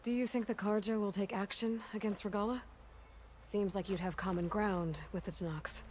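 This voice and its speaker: An actress playing a determined, inquisitive young warrior explorer in a video game, speaking directly and seriously with probing questions. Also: female voice, mid-range voice, fairly even intonation, moderate pace, darkish tone, a little hiss or hum, natural voice. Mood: somber, resolute. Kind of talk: video game dialogue acting.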